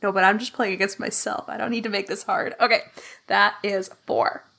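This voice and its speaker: A woman plays a tabletop mystery as a guest, chatting casually and laughing often. Her voice is bright and forward, at a middle pitch for a woman. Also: level tone, brisk, vocal fry. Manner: chatty, laughs often, slips into a posh in-character voice. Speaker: guest player. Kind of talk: casual play chat.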